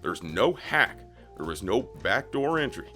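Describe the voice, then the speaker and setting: A man's voice: lowish voice, sing-song, unhurried, sharp tone, faint music behind. A man working as a voice actor, reading a formal statement as scripted narration.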